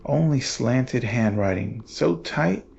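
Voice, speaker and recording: A man playing a brooding, world-weary paranormal investigator in somber, measured first-person horror narration. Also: mid-range voice, expressive, vocal fry, darkish tone, faint music behind, heavily acted. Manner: somber, measured, literary storytelling. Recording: dull audio, faint noise.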